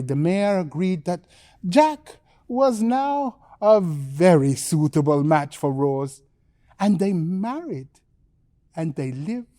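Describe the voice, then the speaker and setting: A woman's voice: on the low side, mellow, no room sound. Casting: female bedtime storyteller, theatrical and suspenseful, widely swooping intonation, close dry sound. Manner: dramatic, drawn-out phrasing with suspenseful pauses.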